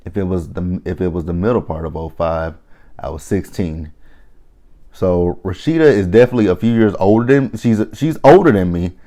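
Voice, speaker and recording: Blunt deep-voiced man in his thirties. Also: moderate pace, warm tone. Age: 30s. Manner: blunt, opinionated, swears freely; rambling with frequent restarts. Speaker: reality TV reviewer. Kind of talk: casual recap commentary.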